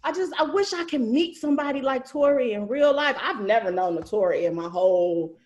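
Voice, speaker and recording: A woman's voice: sits high, lively intonation, a little fast, darkish tone, lo-fi sound. A woman hosting a casual group video-call chat, talking in a chatty, slangy way with frequent laughter. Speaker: host and cast member of an audio series.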